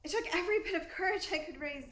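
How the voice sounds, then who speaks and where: A woman playing a high-voiced, shy young fan. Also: fast talker, fairly bright tone. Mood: timid, earnest. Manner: hesitant, meek. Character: shy, earnest, deferential young female theater fan. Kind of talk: radio play acting. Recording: echoey room, faint noise.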